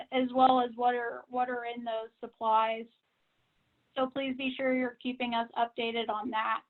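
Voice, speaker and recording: A woman giving a measured, businesslike informational briefing to members over a conference call, on a tinny phone line. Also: a little high. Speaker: association staff member giving member updates.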